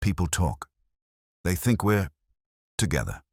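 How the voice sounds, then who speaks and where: Nervous, guarded actress voiced by a deep-voiced man. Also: big pitch swings, measured pace, no room sound, clean studio sound, exaggerated voice. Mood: fearful, defensive. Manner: anxious, defensive.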